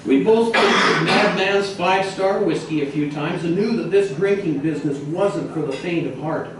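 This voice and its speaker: A man reading a humorous true story aloud as a storyteller, expressive, with some hesitant repeated words. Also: high voice, normal speed. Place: echoey indoor bistro. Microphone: distant microphone in the room. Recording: roomy, echoey, noisy, distant mic.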